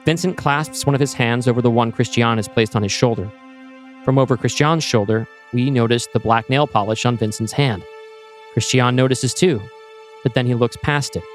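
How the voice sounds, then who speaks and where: A man narrating a scripted screenplay in the present tense, with measured, descriptive storytelling. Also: mid-range voice, faint music behind. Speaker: narrator and host.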